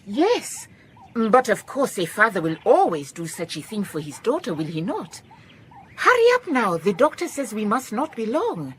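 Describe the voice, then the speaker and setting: An actress playing a calm, shrewd, kindly woman detective in a radio drama, measured and politely firm, with a Southern African accent. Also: mid-range voice, slight background noise. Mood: grave, insistent. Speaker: lead actor in a radio drama. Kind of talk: radio drama acting with reflective first-person narration.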